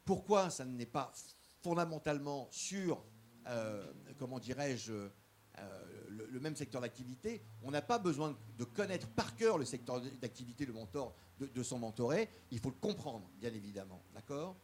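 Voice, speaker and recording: Halting man giving a public speech. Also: medium pitch, sing-song, a little mellow, slight background noise. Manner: halting, stumbles and repeats small words; earnest, stresses key points. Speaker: guest speaker promoting a mentoring program. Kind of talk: public speech at a formal session.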